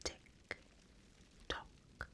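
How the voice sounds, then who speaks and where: A woman whispering an ASMR roleplay as a seasoned demon mentor, slow and deliberate, with playfully sly menace, close to the microphone. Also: bright, forceful tone.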